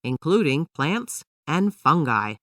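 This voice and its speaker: A woman's voice: low-pitched, sing-song, takes it slowly, no room sound, clean studio sound, distant mic, exaggerated voice. A woman voicing a patient father who explains things to his young daughter in a children's storybook, in an instructive manner. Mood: affirming.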